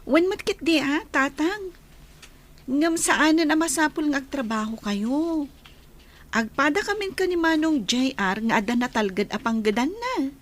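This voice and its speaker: A woman playing a caring, dutiful young adult daughter in an Ilocano radio drama, speaking in a solicitous, gently insistent way. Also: high-pitched, animated, a little slow. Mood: concerned. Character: caring, dutiful grown daughter of village father.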